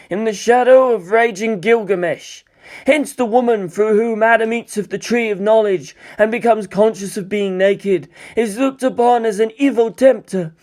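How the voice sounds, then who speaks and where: Old male monk played by a man, high register, serene scholar, formal explanatory storybook delivery. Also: darkish tone.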